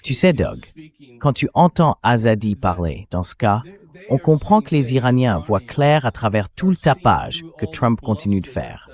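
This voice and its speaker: A man's voice: fairly low pitch, sing-song, normal speed, a little mellow. A man hosting a political interview, speaking as a synthetic French voice-over translation. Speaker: interview show host.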